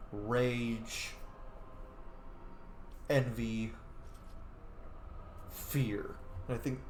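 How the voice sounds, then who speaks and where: A man casually commenting on a role-playing video game as he plays, chatty and excitable, swearing freely at the game. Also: medium pitch, slight background noise. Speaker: gameplay commentator. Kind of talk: casual let's-play commentary. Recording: roomy echo with game music. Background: video game music and sound effects.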